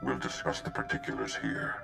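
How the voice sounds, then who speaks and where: A man voicing a venerable, confident and idealistic Jedi master in a video game, measured and solemn with a gravelly voice. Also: extremely low pitch, heavily acted. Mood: proud, assured. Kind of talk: video game cutscene voice acting. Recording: loud music behind.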